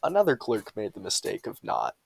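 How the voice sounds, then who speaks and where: A man's voice: fairly low pitch, wildly swooping pitch, brisk, vocal fry, breathy edge, dry recording. Man reading silly bedtime stories, dry sound. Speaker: storyteller reading short comic stories. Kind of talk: humorous bedtime story read aloud.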